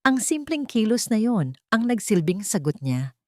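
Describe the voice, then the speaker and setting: A woman's voice: medium pitch, very animated, moderate pace, dry recording, studio quality. A woman storyteller reading scripted narration of a dramatic love story.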